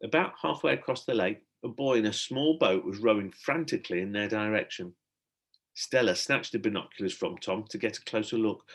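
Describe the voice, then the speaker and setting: A man reading a children's adventure story aloud as a teacher would, in expressive storybook narration. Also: medium pitch.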